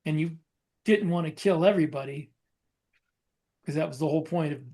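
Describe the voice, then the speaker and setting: A man co-hosting a podcast, talking casually and haltingly as he pieces thoughts together. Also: fairly high pitch, mellow. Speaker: podcast co-host.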